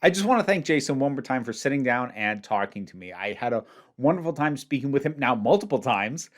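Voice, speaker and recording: A man's voice: mid-range voice, lively intonation, a little fast. A male-sounding host in their thirties, speaking casually and expressively to viewers in a friendly way. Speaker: host, interviewer. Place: indoor room with shelves of toys.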